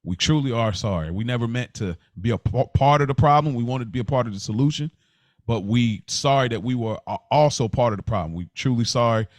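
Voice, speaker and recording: A man's voice: medium pitch, quick pace, dry recording. A man co-hosting a podcast, telling personal stories casually, often repeating words and restarting his phrases. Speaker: podcast co-host. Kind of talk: casual personal storytelling in conversation.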